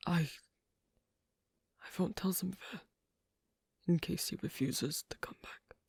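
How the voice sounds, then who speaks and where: Man acting as worried fantasy royal, ASMR roleplay, husky gravelly whisper, close dry sound, halting, formal, gentle. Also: high voice, steady tone, no room sound, heavily acted. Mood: resolved, hesitant. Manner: halting, hushed, careful, formal phrasing.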